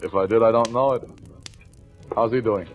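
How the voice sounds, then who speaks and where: An actor playing a dry, world-weary, methodical police lieutenant in a 1940s radio crime drama, terse and deadpan. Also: male voice, on the low side, normal speed, muffled recording, natural voice. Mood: skeptical. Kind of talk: 1940s radio crime drama acting and first-person narration. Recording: old radio recording, music behind.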